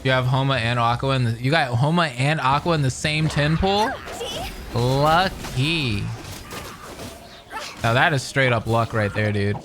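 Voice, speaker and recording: Excitable young man reacting to a game. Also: medium pitch, a little slow, noisy background. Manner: excitable, chatty, says dude. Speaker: gaming streamer. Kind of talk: casual game reaction commentary. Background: game music.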